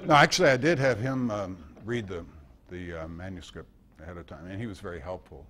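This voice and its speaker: An American man giving an informal talk and answering questions, hesitant and thoughtful, often pausing as he tells his anecdotes. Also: deep voice, background noise. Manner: hesitant, thoughtful, anecdotal delivery with long pauses. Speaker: guest speaker and author at a club meeting. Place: indoor club meeting.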